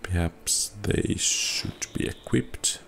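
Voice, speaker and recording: A man's voice: slow pace, fairly bright tone. A man giving casual, understated video game commentary, musing to himself as he plays. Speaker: gameplay host and player. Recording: game music behind the voice. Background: fantasy game music.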